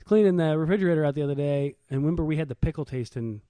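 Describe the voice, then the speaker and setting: An American man around his thirties or forties co-hosting a podcast, telling everyday stories in slangy, animated casual chat. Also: on the high side, very fast, dry recording. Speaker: podcast co-host.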